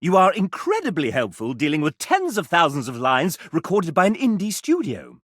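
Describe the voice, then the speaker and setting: A man's voice: a little high, huge pitch swings, normal speed, a bit bright, no room sound, studio quality, full character voice. An actor playing a sassy, theatrical male guide, narrating a video game in an arch, chatty way.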